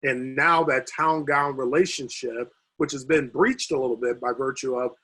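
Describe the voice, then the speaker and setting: A man speaking on a video call panel, losing his voice and talking haltingly with many fillers and restarts. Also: medium pitch, steady tone, a bit bright, breathy edge, rough recording. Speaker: university recreation administrator.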